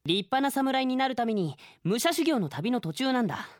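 A man's voice: extremely high pitch, big pitch swings, normal speed, no echo, studio quality. A man playing a serious, prickly young samurai in a comic radio drama, youthful and brusque, given to scolding retorts. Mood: irritated. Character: young male wandering samurai, serious, prickly, easily riled.

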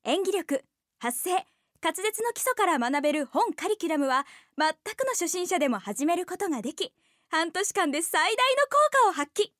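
Female announcer for promo spot, very high voice, close dry sound. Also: very animated, normal speed, a bit bright, no echo. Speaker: commercial announcer. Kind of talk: scripted promotional narration. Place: dry studio.

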